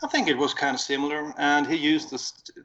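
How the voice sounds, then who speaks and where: A man's voice: on the high side, fairly even intonation, fairly quick, sharp tone. A man with a Swedish accent, casually recounting a concert on a podcast in a rambling, self-correcting way. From Sweden. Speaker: podcast co-host. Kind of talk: casual chat. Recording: dull lo-fi audio.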